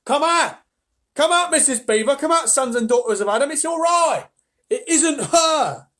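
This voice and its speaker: A man voicing an excited male talking beaver in a storybook reading, shouting high and loud with glee. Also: normal speed.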